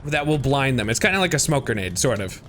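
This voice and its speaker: A man's voice: medium pitch, quick pace, a little hiss or hum. A man streaming a multiplayer shooter, chatting casually with friends and laughing while he gives them directions. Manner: lively, laughing, giving teammates directions. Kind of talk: casual gaming chat.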